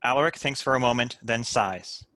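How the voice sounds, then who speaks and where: A man's voice: mid-range voice, moderate pace, bright, forceful tone, a little hiss or hum. A man reading stage directions as scripted narration for a table read.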